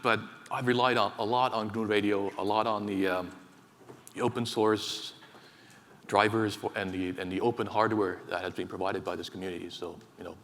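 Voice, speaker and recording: A man from Finland giving a technical conference talk in a large hall, speaking haltingly with many fillers and repeated words. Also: on the low side, a bit bright. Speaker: conference speaker, associate professor. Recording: reverberant hall, faint ambience.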